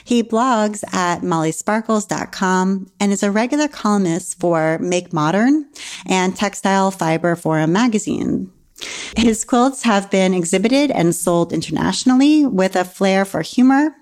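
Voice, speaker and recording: A woman's voice: mid-range voice, lively intonation, a little slow, darkish tone. A woman hosting a podcast, reading an ad and interviewing a guest in a friendly, upbeat way with frequent laughs. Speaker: podcast host.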